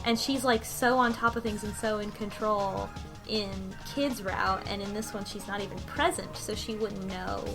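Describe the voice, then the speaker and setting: Woman w a highish voice on a podcast, casual game talk, music clearly playing behind her. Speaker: podcast panelist. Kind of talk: casual group discussion of a game. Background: background music.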